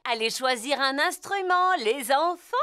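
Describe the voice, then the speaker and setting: A woman voicing a brisk, enthusiastic lady gazelle schoolteacher with theatrical, instructing delivery in a French cartoon dub. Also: high voice, animated, bright, forceful tone, heavily acted.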